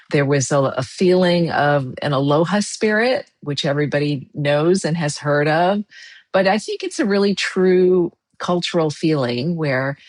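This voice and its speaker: A woman from Hawaii as a podcast guest, telling her life story quickly and thoughtfully. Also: sits low. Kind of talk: interview storytelling.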